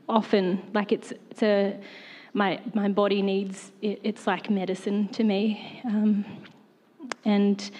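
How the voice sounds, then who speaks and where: A woman, a church worship leader, answering a panel question in an earnest, reflective way. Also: mid-range voice. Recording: long hall reverb.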